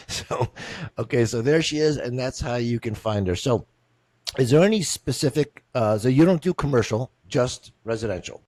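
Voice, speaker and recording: A man's voice: medium pitch, expressive, moderate pace, a little mellow, no echo. A man hosting an interview on a video call, jokey and rambling, often repeating himself. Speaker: interview host. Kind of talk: casual interview.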